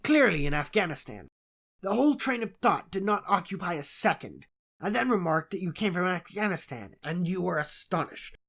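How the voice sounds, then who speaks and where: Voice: medium pitch, very animated, moderate pace, vocal fry, fairly bright tone, voice pushed up, exaggerated voice. A narrator playing a conceited, analytical male detective in an audiobook, lecturing with self-assured disdain. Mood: confident, explaining. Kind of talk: audiobook character voice in classic detective story.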